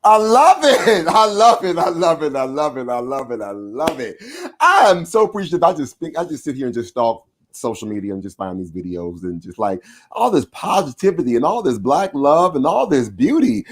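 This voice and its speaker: A man hosting an online alumni show, chatting casually and shouting with excitement as he brings on guests, speaking over a video call. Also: on the high side, moderate pace. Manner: excitable, shouts and whoops when introducing guests. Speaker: show host. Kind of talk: hype introduction and casual interview chat.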